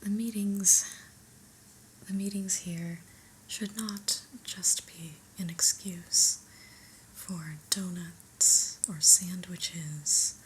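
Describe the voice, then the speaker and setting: A woman whispering an ASMR roleplay as an unimpressed new office boss, deliberate, mildly stern and deadpan, in a breathy voice. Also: on the low side, a little hiss or hum, rough recording.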